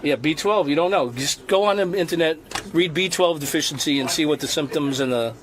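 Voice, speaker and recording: A man's voice: a little high, fairly quick. A man being interviewed, answering casually but defensively, with chatter behind him.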